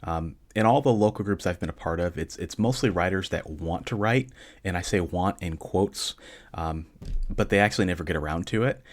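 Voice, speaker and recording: Calm deep-voiced man giving advice. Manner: calm, measured advice with dry deadpan humor. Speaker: podcast host answering listener questions. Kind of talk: casual solo podcast talk.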